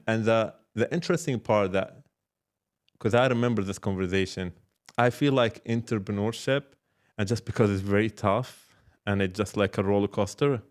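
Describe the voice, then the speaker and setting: A man's voice: lowish voice, clear, resonant tone. A man speaking casually and reflectively as an interview guest, repeating words and explaining with examples and check-questions. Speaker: podcast guest, startup founder and mentor.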